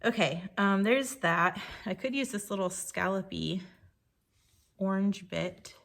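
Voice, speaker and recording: A woman with a slightly croaky voice, chatting casually and thinking aloud while she works on a scrapbook page. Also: mid-range voice, slow pace, a bit bright. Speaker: scrapbooking creator. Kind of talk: casual crafting commentary.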